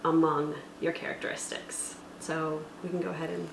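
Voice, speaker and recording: A woman's voice: deep voice, flat delivery, moderate pace, gravelly. A woman hosting a tabletop roleplaying tutorial, explaining rules methodically and conversationally, step by step. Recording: echoey room with faint background noise.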